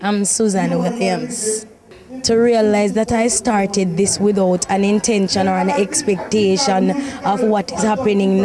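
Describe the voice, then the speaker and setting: A woman in her thirties with a Jamaican accent, a comedian answering interview questions into a handheld microphone outdoors. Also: medium pitch. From Portland, Jamaica. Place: outdoors at night by shrubbery.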